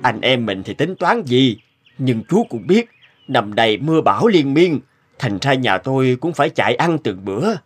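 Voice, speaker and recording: A man playing a kindly, hard-up married villager in a cartoon, reasoning gently. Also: medium pitch, very animated, moderate pace, breathy edge, soft background music. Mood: reluctant. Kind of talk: animated moral tale acting.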